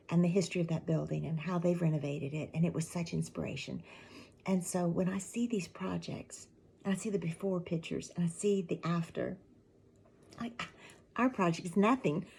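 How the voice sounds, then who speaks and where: A middle-aged woman with a raspy, allergy-worn voice, chatting casually to her viewers in a rambling way, her words often trailing into a soft half-whisper. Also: on the low side, slight background noise. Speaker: channel host. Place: at home with a mug of tea.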